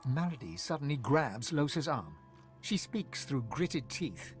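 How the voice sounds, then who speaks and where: A man's voice: medium pitch, big pitch swings, a little slow, soft background music. A man playing a solemn storyteller, narrating a fantasy video game in a dramatic, literary way.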